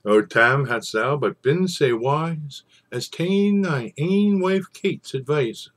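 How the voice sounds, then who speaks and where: A man with a husky voice, speaking as a storyteller and savouring his phrases with theatrical pauses. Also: a little high, animated. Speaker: storyteller and poetry reader. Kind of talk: informal introduction, then dramatic poetry recitation.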